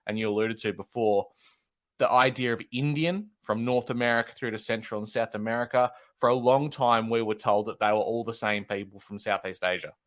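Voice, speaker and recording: A man's voice: lowish voice, fairly bright tone. A man, a podcast guest, talking through questions in a thoughtful, questioning way. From Australia. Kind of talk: casual discussion.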